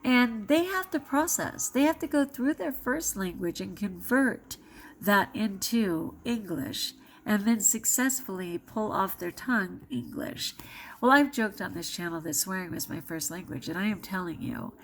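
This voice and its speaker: A middle-aged woman vlogging in a candid, confessional way, speaking emphatically with dramatic pauses as she reflects personally to the camera. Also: medium pitch, animated, a little hiss or hum, low-quality recording. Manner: confessional and emphatic, with dramatic pauses and self-quoted inner voices.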